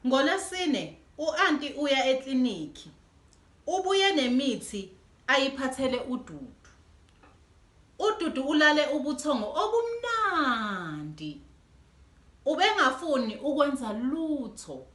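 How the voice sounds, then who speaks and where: A woman reading a children's storybook aloud in an animated way as host. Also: high voice, a little slow. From South Africa. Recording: echoey room.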